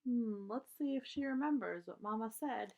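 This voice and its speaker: A woman's voice: fairly high pitch, lively intonation, moderate pace, vocal fry, a little mellow, low-quality recording. A woman reading a children's story aloud, explaining things in simple terms for young listeners.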